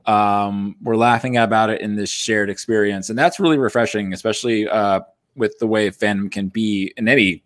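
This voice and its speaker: A man's voice: lowish voice, fairly even intonation, moderate pace, a bit bright, no echo, studio quality. A man on a livestream panel, chatting informally and acting out imagined remarks as he goes. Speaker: panelist on a group stream. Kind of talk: casual chat on a livestream. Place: indoor home setup on a group video call.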